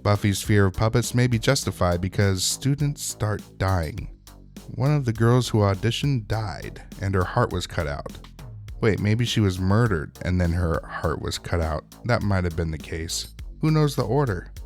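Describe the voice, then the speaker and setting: Male recap host, lowish voice, wry and colloquial, casual narration over faint music. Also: expressive, normal speed. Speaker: host of a TV episode recap show.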